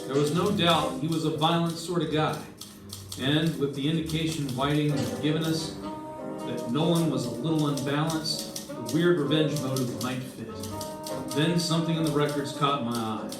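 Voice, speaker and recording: A man's voice: on the high side, normal speed, natural voice. Man playing terse radio detective in echoey room. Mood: steady narrating. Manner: terse, pointed. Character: hard-boiled male investigator narrating and questioning suspects. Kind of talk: old-time radio detective drama performed live. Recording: echoey room, distant mic, music.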